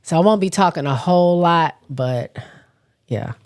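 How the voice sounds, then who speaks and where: Raspy woman host fighting off a cold, stuffy and hoarse, halting delivery with frequent throat-clearing, casual live chat with viewers. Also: fairly low pitch, lively intonation, darkish tone. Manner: halting, often stops to clear her throat. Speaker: livestream host. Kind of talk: casual livestream chat, reading viewer comments.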